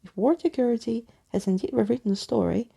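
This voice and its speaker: Woman voicing a pompous, gravelly old storybook skeleton man. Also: on the high side, a little fast, warm tone. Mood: unruffled. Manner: florid, grandiose wording with muddled words. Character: pompous, wordy old male living skeleton, absent-minded and unflappable.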